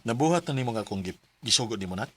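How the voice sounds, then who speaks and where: A man with a Cebuano accent playing a gentle, accepting father in a radio drama. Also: medium pitch, expressive, very fast, over loud music, close to an everyday voice. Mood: teasing.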